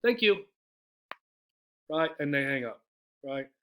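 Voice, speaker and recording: A man teaches an English lesson in a bright, clear-cut voice that sits slightly high. He talks conversationally, breaking points into small steps and often checking that listeners follow. He also speaks out model phrases as examples. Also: sing-song, takes it slowly. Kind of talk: explanatory lesson with example phone messages.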